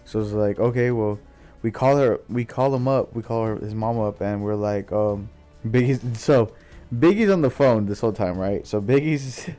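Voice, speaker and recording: A man's voice: fairly low pitch, normal speed, dark tone, faint music behind. A young adult man telling a casual story, slangy, rambling and sweary.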